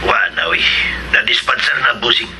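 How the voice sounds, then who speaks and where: A man with a Cebuano accent voicing a jittery, gossipy sidekick with a creaky voice in a radio drama. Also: medium pitch, moderate pace, background noise, natural voice. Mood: uneasy, secretive. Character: jittery, gossipy male sidekick bringing word to his boss.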